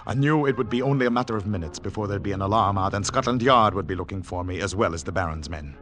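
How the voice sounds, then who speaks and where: An actor playing an earnest foreign agent on the run, speaking gravely and dramatically in 1940s radio style. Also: male voice, fairly low pitch, fast, fairly bright tone, natural voice. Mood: grave, tense.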